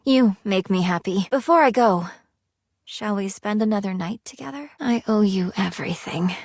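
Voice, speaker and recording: A woman's voice: mid-range voice, moderate pace, a little mellow, no room sound, studio quality, lightly acted. An actress voicing a prim, earnest, thrifty young woman in a dating video game, speaking in formal, precise sentences. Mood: tender. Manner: formal, precise wording with few contractions. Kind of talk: video game character dialogue.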